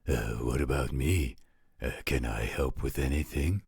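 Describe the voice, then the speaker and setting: A man voicing a gruff but good-natured male werewolf in a fantasy audio roleplay, with a raspy voice and a casual, slightly hesitant manner. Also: extremely low pitch, unhurried, darkish tone, dry recording, studio quality, full character voice. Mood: eager, hesitant. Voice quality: raspy, gravelly.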